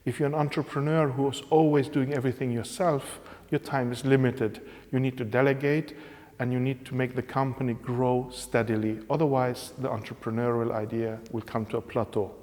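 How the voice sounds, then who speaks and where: A man's voice: mid-range voice, flat delivery, darkish tone. Hungarian-born man speaking with reverb. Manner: measured, explanatory, with pauses and fillers. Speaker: interviewed professor. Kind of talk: spontaneous interview answers in English. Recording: reverberant room.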